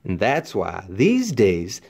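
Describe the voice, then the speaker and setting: A man's voice: deep voice, huge pitch swings, takes it slowly, gravelly, darkish tone, slightly rough. A man narrating a children's storybook expressively, with folksy, dramatic emphasis like a teacher reading aloud.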